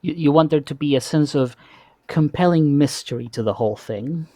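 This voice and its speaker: A man's voice: on the high side, normal speed, warm tone, dry recording. Male podcast host, dry close sound. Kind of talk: casual interview chat.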